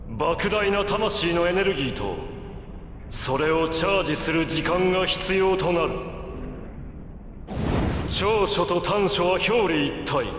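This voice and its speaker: Anime game villain: megalomaniac vampire god, theatrical and imperious, high register, drawn-out delivery. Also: male voice. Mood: grandiose, calculating. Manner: theatrical, imperious, drawn-out declamation with shouted outbursts. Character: megalomaniac godlike vampire villain, grandiose and gloating. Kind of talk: anime video game cutscene acting.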